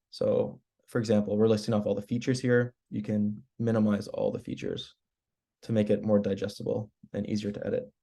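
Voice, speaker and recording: Hoarse man explaining on a work call. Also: lowish voice. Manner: relaxed, explanatory, clears throat often. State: hoarse, losing his voice. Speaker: web team member giving training. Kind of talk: screen-share walkthrough on a work call.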